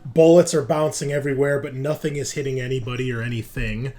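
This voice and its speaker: A man's voice: medium pitch, steady tone, fast, warm tone. A man running a tabletop roleplaying game as game master, narrating in a casual, colloquial way.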